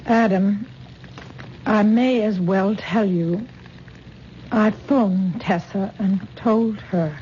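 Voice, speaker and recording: A woman's voice: mid-range voice, extremely slow, pauses often, warm tone. An actress playing a level-headed, skeptical woman who talks bluntly and matter-of-factly in a 1970s radio drama. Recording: dull old radio broadcast with faint hiss.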